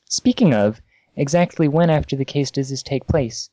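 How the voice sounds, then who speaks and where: A man casually reading amateur fan fiction aloud on a podcast, halting and amused, with asides. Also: mid-range voice, warm tone, dry recording.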